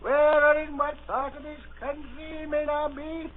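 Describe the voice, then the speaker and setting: An actor playing a sinister, soft-spoken blind old mariner in a radio drama, an unctuous beggar with cruelty underneath. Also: male voice, very high voice, little pitch movement, normal speed, narrow, tinny audio. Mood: wheedling. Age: older. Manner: unctuous begging turning to menace. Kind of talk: 1930s radio acting. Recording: thin, noisy old radio recording.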